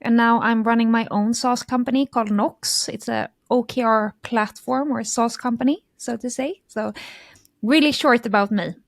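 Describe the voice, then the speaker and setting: A woman's voice: a little high, fairly even intonation, moderate pace, creaky, no echo. Matter-of-fact woman from Sweden, dry sound. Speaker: guest, software company founder. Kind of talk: casual conversation.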